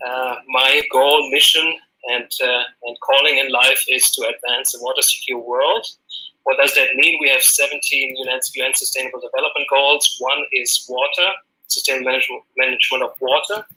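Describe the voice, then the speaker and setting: A man's voice: mid-range voice, steady tone, moderate pace, pushed and bright, rough recording. A man from Germany with a German accent, answering interview questions as a guest in an earnest way, with many fillers and restarts. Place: Los Angeles, remote call.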